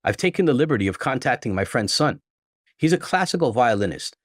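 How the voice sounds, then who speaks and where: A man's voice: medium pitch, sing-song, a little fast, no echo, studio quality. A man voicing a presumptuous older father-in-law in a story, formal and insistent.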